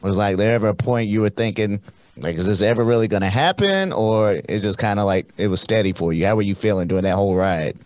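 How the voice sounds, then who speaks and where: A man with an American accent co-hosting a radio show, interviewing a guest with energetic hype. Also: on the low side, fast talker, no echo. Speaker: radio co-host.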